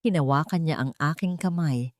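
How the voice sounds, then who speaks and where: A woman with a Filipino accent reading a scripted first-person dramatic story in a deliberate storytelling manner. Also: low voice, no echo, clean studio sound. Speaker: narrator of an audio love-and-revenge drama.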